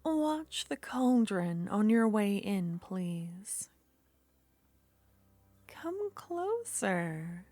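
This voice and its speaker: A woman voicing a flirtatious, secretive female witch in an ASMR roleplay, speaking in slow, breathy whispers. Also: mid-range voice, big pitch swings, dark tone, dry recording, exaggerated voice. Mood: coaxing.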